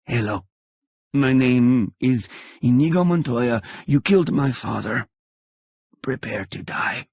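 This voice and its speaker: A husky-voiced man voicing a wounded, vengeful swordsman who speaks with hushed, grim intensity. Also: mid-range voice, lively intonation, takes it slowly, no echo, clean studio sound, voice pushed up, exaggerated voice. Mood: hushed menace.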